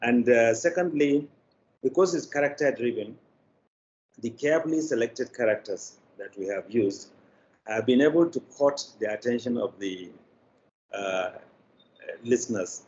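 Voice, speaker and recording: A man's voice: mid-range voice, animated, unhurried, pauses often, darkish tone. Older Nigerian man on a dull, noisy line. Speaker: guest, media company executive. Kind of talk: interview answers. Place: indoor room, video call. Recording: dull, noisy video call.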